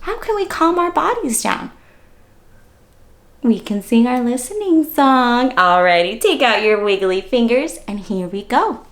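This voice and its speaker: A high-voiced woman leads story time for little kids. Her delivery swoops up and down with playful, exaggerated surprise, and she talks straight to her young listeners as she reads. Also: a little slow. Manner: animated, exaggerated surprise, addressing children directly with questions. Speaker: children's story-time host and reader. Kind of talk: interactive read-aloud for young children.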